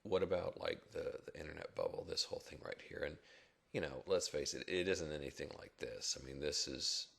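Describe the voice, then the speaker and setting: Very deep, gravelly man thinking aloud. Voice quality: rough, gravelly, husky. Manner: halting, reflective, thinking aloud. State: rough early-morning voice, not yet warmed up. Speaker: stock market blogger and chartist. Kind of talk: casual unscripted market commentary over charts.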